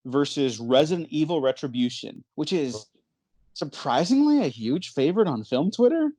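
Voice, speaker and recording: A man's voice: a little high, big pitch swings, normal speed, dark tone, no room sound. Man hosting a casual podcast, dry close sound. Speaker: podcast host. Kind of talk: casual chat.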